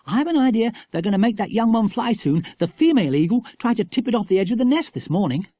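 An eager English schoolboy as read by a man in a children's storybook. The voice is raised high, husky and animated, plucky and full of keenness. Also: fast talker, no room sound. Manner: eager, boyish. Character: keen, plucky schoolboy adventurer.

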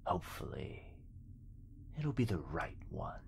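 A man voicing a possessive shadow monster in a yandere ASMR roleplay, breathy and whispery, mockingly affectionate and teasing with menace. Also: low voice, very animated, a little mellow, slight background noise, heavily acted. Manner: slow, drawn-out, teasing menace.

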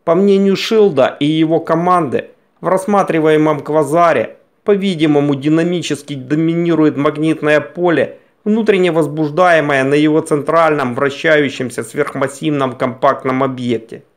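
A man narrating a scripted science documentary in a measured, explanatory manner. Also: fairly high pitch.

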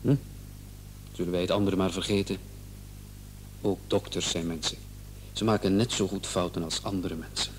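A man's voice: low-pitched, steady tone, normal speed, pauses often, close to an everyday voice. A man in a radio drama playing a hospital doctor who is placating and condescending. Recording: old radio play, faint noise and hum.

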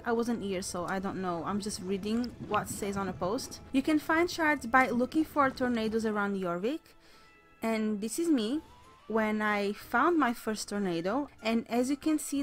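A woman hosting a game video in casual commentary, slightly hoarse as she is losing her voice, excitable about what she finds. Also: fairly high pitch. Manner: excitable, gasps at new sights. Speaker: gaming channel host.

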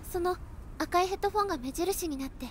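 An actress playing a shy, polite high school girl in an audio drama, speaking hesitantly. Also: fast talker, a little hiss or hum. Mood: shy, earnest.

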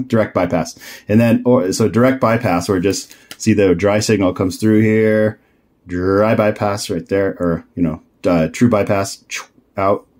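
A man's voice: fairly low pitch, steady tone, measured pace, a little mellow. A man giving a casual, unscripted DIY tutorial, thinking aloud and correcting himself in an easygoing way. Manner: easygoing, laughs at own mix-ups. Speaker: DIY electronics builder and presenter.

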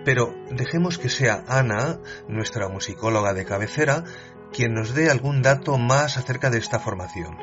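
A man's voice: medium pitch, expressive, normal speed. A man hosts a radio program about film music and talks in a conversational way. The sound is dull and carries a music bed. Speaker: radio host, author. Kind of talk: radio chat.

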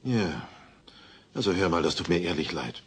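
A man's voice: deep voice, lively intonation, normal speed, breathy edge, full character voice. A man playing a blunt, blustering middle-aged neighbour in a sitcom audio play. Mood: milder. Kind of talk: German-dubbed sitcom audio play acting. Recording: noisy old cassette audio play.

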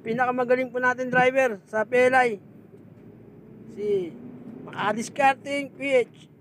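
High-voiced joking truck driver. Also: male voice, steady tone, measured pace, fairly bright tone, slight background noise. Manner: joking, mock-formal introductions. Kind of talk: casual banter.

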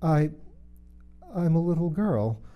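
A man's voice: a little high, big pitch swings, normal speed, lo-fi sound, voice pushed up. A man voicing a puzzled, polite little girl who speaks earnestly in a storybook reading. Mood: doubtful. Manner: earnest, hesitant, protesting.

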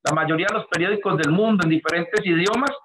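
A man's voice: high-pitched, fast, over a noisy crowd, rough recording. A middle-aged Colombian man with a Colombian accent, speaking earnestly and effusively in long flowing sentences on a video call from a room at home. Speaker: artist guest. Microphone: webcam on video call.

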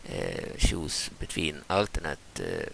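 A man's voice: extremely low pitch, very animated, slow, vocal fry, fairly bright tone, a little hiss or hum. A young Swedish man with a Swedish accent, talking casually and hesitantly through a game mod walkthrough, with many fillers and restarts. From Sweden. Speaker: gaming channel host.

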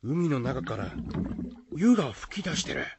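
An actor playing an anxious, rough fisherman in a radio folk tale. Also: medium pitch, sing-song, measured pace, noisy background. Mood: astonished.

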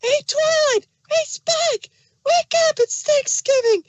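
A hyperactive young cartoon pony with an extremely high, squeaky-pitched voice. She is relentlessly cheerful and pushy, exclaiming her way through a fan audio drama, recorded close and dry. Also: takes it slowly, dry recording. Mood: bubbly, excited.

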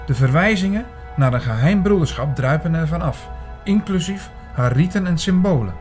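An actor in a radio drama playing an earnest investigator who argues insistently and with literary phrasing for his dark convictions. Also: a little high, expressive, normal speed, soft background music, close to an everyday voice. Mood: defensive, convinced. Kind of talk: radio drama narration and dialogue.

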